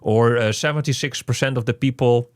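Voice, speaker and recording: A man co-hosting a casual game review, talking in an animated, rambling way with lots of "you know". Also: medium pitch, brisk, dry recording, studio quality.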